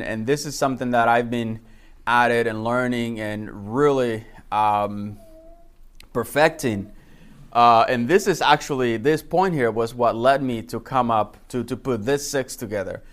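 A man gives an informal class talk. His voice sits at a middle pitch. He speaks haltingly, circling back and repeating words as he explains things through anecdotes. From Dominican Republic. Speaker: gardening class instructor. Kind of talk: informal lecture with personal anecdotes.

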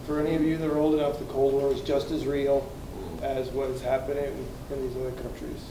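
A man's voice: medium pitch, flat delivery, brisk, background noise. An American man in his fifties giving an earnest personal testimony as a guest speaker in a church hall. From United States. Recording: echoey room, from far off.

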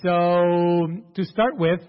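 A man's voice: high-pitched, drawn-out words, smooth, lo-fi sound. Portuguese-accented man in his forties, hall reverb. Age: 40s. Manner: chatty, joking, checks in with audience. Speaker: seminar presenter. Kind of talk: informal seminar talk.

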